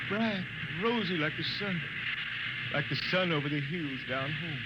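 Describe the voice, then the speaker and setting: Old blind space drifter, homesick, folksy drawl, high lively voice, noisy old radio broadcast. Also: male voice. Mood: fading calm. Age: older. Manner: folksy drawl with rough grammar. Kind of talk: 1950s radio drama acting.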